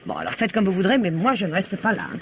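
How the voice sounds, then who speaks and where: An actress playing a calm, reserved woman who owns a country house, in a vintage radio drama, with a dry, controlled delivery. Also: medium pitch, quick pace, slight background noise. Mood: coaxing.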